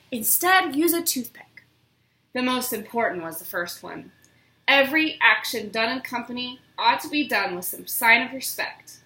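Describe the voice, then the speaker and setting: Animated high-voiced woman reading a storybook. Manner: expressive, theatrical storytelling. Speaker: storybook reader. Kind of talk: dramatic children's book reading. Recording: roomy, echoing room sound.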